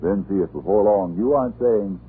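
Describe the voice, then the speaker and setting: A man's voice: lowish voice, brisk. An American man announcing on 1940s radio, with a formal, polished sales delivery. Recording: muffled, noisy old radio broadcast.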